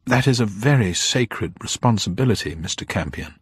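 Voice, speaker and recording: A man's voice: fairly low pitch, animated, normal speed, husky, dry recording, clean studio sound, pitched above the performer's own voice. A man voicing a little old upper-class woman, genteel, formal, grieving and proud, in an audiobook story. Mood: stiff, indignant. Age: older. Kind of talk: dramatised audiobook character voice.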